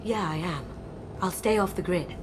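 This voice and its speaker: An actress playing a grieving but resolute female thief in a video game, soft and wistful. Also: lowish voice, normal speed, background noise, natural voice. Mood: quiet resolve. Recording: music behind the voice.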